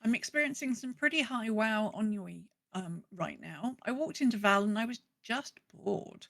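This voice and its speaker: A woman's voice: fairly high pitch, lively intonation, moderate pace, gravelly, fairly bright tone. A woman co-hosting a podcast, chatting casually and reading out listener messages in a conversational way with muttered asides. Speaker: podcast co-host.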